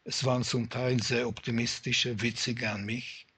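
Husky older man remembering calmly. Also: mid-range voice, normal speed. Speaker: interviewee recalling his childhood. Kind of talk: personal testimony.

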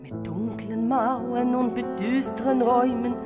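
This is a woman singing as a mother crooning poems to her child in a high, lilting voice. She sings slowly and softly, with clear music playing along. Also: steady tone, close to an everyday voice. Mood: wistful. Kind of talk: sung poem.

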